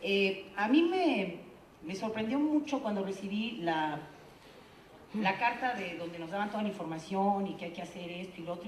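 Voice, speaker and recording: A woman's voice: fairly low pitch, darkish tone, a little hiss or hum. A woman from Tamaulipas with a Mexican Spanish accent giving a chatty, joking talk at a theater congress. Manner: jokey, chatty, self-deprecating. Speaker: conference speaker. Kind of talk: informal speech at a congress. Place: conference hall. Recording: echoey hall.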